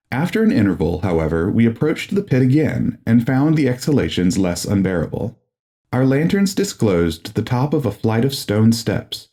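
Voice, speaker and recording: A male audiobook narrator with a low, dark and mellow voice. He reads gothic horror in a solemn, formal manner, with a very animated, wide-swinging pitch that gives the prose drama.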